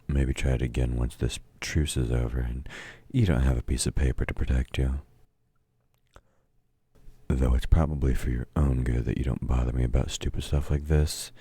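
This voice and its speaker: An actor playing a domineering, taunting villain in an intimate audio roleplay, gruff and commanding as he mocks a meek listener. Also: level tone, normal speed, no room sound. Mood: mocking, dismissive. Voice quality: very deep, dark, husky voice. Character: domineering, taunting male villain, grudging temporary ally of heroes. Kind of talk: intimate audio roleplay, scripted dramatic acting.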